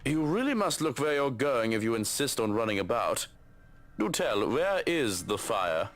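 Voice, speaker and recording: An actor playing an unruffled, condescending gentleman, formal and dryly teasing, in a visual novel. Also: mid-range voice, sing-song, moderate pace, soft background music, close to an everyday voice. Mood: dry, amused. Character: amused, unruffled, condescending ginger-haired gentleman.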